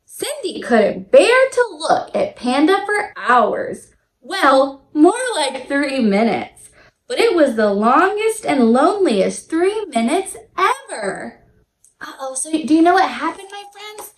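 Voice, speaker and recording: A woman's voice: sits high, big pitch swings, slow, roomy sound. A woman teacher hosting a read-aloud storytime for young children, speaking in a sing-song, exaggeratedly enthusiastic way straight to the kids. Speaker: children's teacher and show host.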